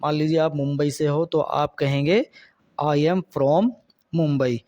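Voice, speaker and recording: A man's voice: fairly high pitch, steady tone, takes it slowly, dark tone, no echo, highs cut off. A man teaching spoken English in a lesson, explaining in Hindi and carefully repeating each English sentence with its translation.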